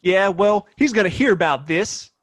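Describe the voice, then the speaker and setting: A blustering Wild West gunslinger with a badge and a short temper. His American voice sits high and swings widely as he throws folksy insults around in a radio-play western. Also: expressive, normal speed, a bit bright. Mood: cocky, belligerent. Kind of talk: audio play acting, western.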